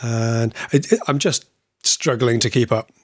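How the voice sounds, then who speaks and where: A man's voice: mid-range voice, measured pace, no echo, clean studio sound. A man co-hosting a podcast in friendly casual chat, hesitant with lots of uh sounds and restarts, on a video call. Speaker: podcast co-host.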